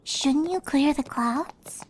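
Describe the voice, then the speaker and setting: A woman's voice: high voice, takes it slowly, slight background noise, pitched above the performer's own voice, poor audio. A woman voicing a timid, sad young female pegasus pony in a cartoon fan fiction reading, meek and hesitant. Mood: sleepy, unsure. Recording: music under the voice.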